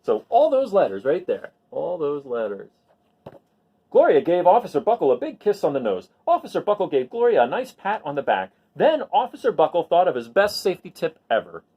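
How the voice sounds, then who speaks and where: A man's voice: fairly high pitch, huge pitch swings, a little fast, mellow, husky, dull audio. A man reading a picture book aloud expressively, emphasizing key words and adding chatty asides to his listeners.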